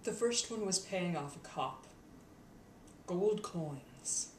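A woman's voice: on the low side, lively intonation. Woman acting a haunted, intense vigilante, echoey room. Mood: haunted, hushed. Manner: intense, halting, swinging from hushed to shouting. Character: haunted, embittered young woman wizard turned vigilante killer. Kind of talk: dramatic audition monologue. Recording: echoey room, distant mic, faint noise.

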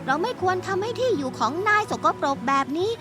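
An eager, earnest little kid in a dubbed cartoon. The voice is very high and lively, with music playing behind. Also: female voice, fast.

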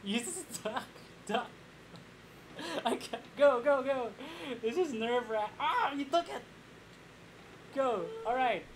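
A young man playing a board game in a small room, excitable and boastful, laughing often, heard from a camera across the table. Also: extremely high pitch, slow, pauses often, slight background noise. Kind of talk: casual game banter.

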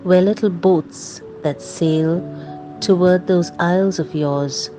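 A woman's voice: fairly low pitch, slow, mellow. A woman, reciting a poem as a scripted reading. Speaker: poem reader. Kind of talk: slow poetry recitation. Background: music.

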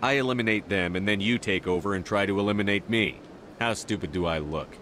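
A man's voice: fairly low pitch, brisk, fairly bright tone, lightly acted. A man playing a terse military commander in a video game, blunt and skeptical in the way he talks. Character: terse, no-nonsense male military commander hero. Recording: faint ambient noise behind.

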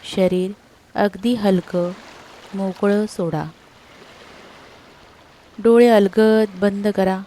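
A woman narrating a scripted sleep meditation in a soothing, unhurried manner, leaving long pauses between short phrases. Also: mid-range voice, fairly even intonation, mellow, slight background noise, rough recording.

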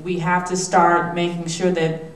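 A woman's voice: lowish voice, monotone, measured pace. A woman, a state legislator, giving earnest answers on a panel through a handheld mic. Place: theater stage. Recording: roomy, distant, faint noise.

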